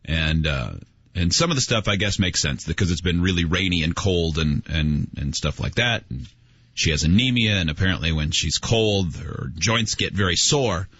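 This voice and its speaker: A man's voice: sits low, a bit bright, husky, no room sound. A man hosting a casual chat, incredulous and riffing on what others said. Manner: incredulous, riffing with mock quotes. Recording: dry, dull lo-fi podcast audio.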